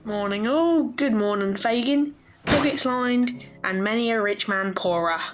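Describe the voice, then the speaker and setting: A woman's voice: fairly high pitch, measured pace, close to an everyday voice. A woman playing a cocky, charming teenage boy pickpocket in an audio drama, breezy and swaggering.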